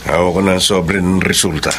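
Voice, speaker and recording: A male actor as a solemn, halting doctor in a radio drama, very low in pitch. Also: fairly even intonation, noisy background, lightly acted. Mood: solemn, building suspense. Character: solemn, hesitant doctor delivering test results. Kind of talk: Filipino radio drama acting.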